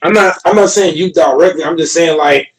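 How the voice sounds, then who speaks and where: A man's voice: fairly high pitch, brisk. A man guest in a livestream debate, talking earnestly and repeating himself.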